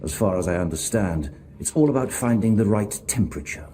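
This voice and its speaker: A man playing a cold, calculating overseer in a video game, instructing in a measured, quietly threatening way. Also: lowish voice, animated, slight background noise, lightly acted. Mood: brisk, instructing. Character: cold, calculating male overseer, menacing and commanding. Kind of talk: video game character acting.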